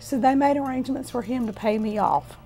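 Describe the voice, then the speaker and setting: Raspy, gravelly woman in her fifties. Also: a little high, warm tone, soft background music. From Florida, USA. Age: 50s. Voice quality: hoarse, raspy voice. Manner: unhurried, plainspoken storytelling. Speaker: testimony speaker. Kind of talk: personal life story.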